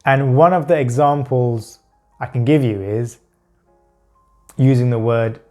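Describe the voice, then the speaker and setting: A man with a stutter speaks slowly to his viewers in a mid-pitched voice, often stalling on a word and repeating small ones before pushing on. Soft music plays faintly under his talk. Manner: speaks with frequent stuttering blocks and repeated words; slow, halting delivery with long pauses. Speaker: vlogger talking about his stutter. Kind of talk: personal talk to camera.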